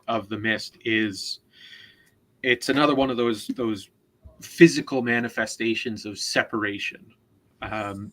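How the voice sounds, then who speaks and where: A man co-hosting a casual literary discussion, explaining things in a halting, deliberate way and often repeating words. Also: lowish voice, fairly bright tone, rough recording. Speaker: co-host, literary commentator.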